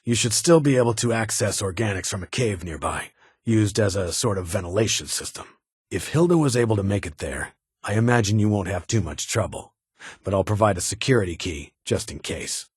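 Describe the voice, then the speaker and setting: Husky, stern male vault security chief. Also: low voice, dry recording, clean studio sound, exaggerated voice. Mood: businesslike concern. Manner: formal, clipped, measured phrasing. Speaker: video game voice actor. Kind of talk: scripted game character dialogue.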